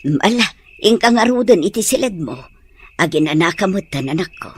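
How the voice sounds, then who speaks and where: A woman's voice: mid-range voice, animated, fairly quick, slight background noise, lightly acted. A woman playing a doting, worried mother of a grown son in an audio drama, fussing in Ilocano-accented speech. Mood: tender, urging.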